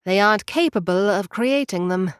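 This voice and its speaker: An actress playing a calm, knowing advisor who explains things in a measured, dry way. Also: female voice, mid-range voice, clean tone, no room sound, lightly acted. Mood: explaining.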